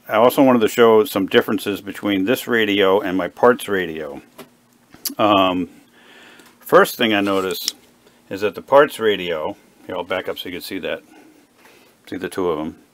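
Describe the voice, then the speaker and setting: A man with a deep voice talks through a hobby repair at his workbench. His delivery is unscripted and halting, with hesitations as he works things out aloud. He sounds like a hobbyist demonstrating hands-on work. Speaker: hobbyist radio repairer presenting his own video. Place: indoor workbench.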